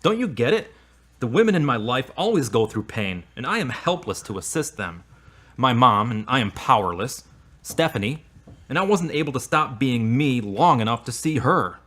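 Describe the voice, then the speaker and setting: Male lead for audio drama: earnest, devout boyfriend, easily hurt, widely swinging mid-range pitch acted by a man. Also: sing-song, normal speed, a bit bright. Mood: hurt, indignant.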